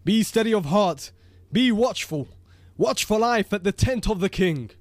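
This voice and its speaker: A man's voice: high voice, animated, unhurried, no echo, clean studio sound. A man playing an earnest, loyal Egyptian army officer in a declamatory dramatic reading. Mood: exhorting. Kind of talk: dramatic reading of an ancient text.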